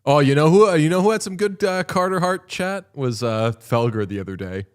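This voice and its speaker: A man's voice: on the high side, lively intonation, a little fast, clean tone. A man in his late thirties, co-hosting a casual sports watchalong, telling rambling stories with a dry, wry humor and some swearing. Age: 30s. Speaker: podcast co-host.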